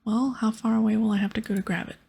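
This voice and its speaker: A woman's voice: fairly high pitch, fairly even intonation, fast talker, vocal fry, darkish tone, husky. A woman voicing a wry female adventurer in game dialogue, whispering breathily. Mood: wry, puzzled. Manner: wry, questioning.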